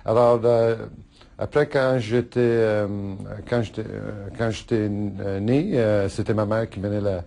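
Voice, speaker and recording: A middle-aged man whose first language is Italian, answering interview questions in a halting way with many fillers and restarts, laughing often. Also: on the low side, flat delivery, creaky, warm tone. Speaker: politician interviewee.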